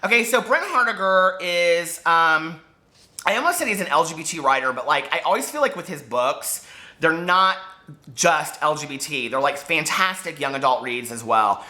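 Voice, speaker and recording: A man chatting casually to camera as a book vlogger, gushing and animated, wandering off on tangents with lots of filler words. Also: fairly high pitch, moderate pace. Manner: gushing, digressive, peppered with fillers.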